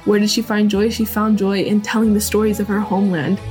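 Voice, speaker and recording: A woman's voice: medium pitch, brisk, music in the background, poor audio. Chatty woman from Seattle. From Seattle, United States. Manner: warm, animated, many fillers like "like". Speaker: interviewee. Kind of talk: casual interview talk.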